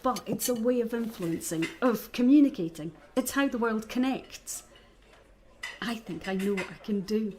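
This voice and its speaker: A woman's voice: a little high, normal speed, darkish tone, a little hiss or hum, natural voice. An actress playing an earnest, eager young woman in an audio drama.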